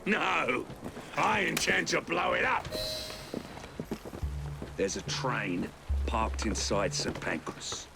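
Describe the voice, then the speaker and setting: An actor playing a flamboyant, gleefully menacing middle-aged crime boss in a video game, with a British accent and a grandiose, playful delivery. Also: male voice, fairly high pitch, lively intonation, slow pace, gravelly, long pauses, heavily acted. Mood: conspiratorial, impassioned. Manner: grandiose, playful, relishing his words. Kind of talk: theatrical video game cutscene acting. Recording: loud music behind the voice.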